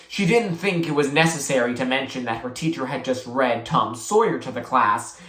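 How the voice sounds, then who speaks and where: Animated storyteller in an echoey room. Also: mid-range voice. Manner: animated, dramatic. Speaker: story reader. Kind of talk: expressive children's audiobook read-aloud. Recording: roomy, echoey sound.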